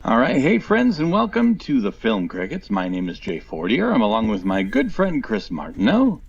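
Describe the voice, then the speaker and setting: Gravelly guy hosting a movie podcast, bouncy intro, dull dry mic. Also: medium pitch, wildly swooping pitch, moderate pace, dry recording, faint music behind. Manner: upbeat, welcoming. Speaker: podcast host.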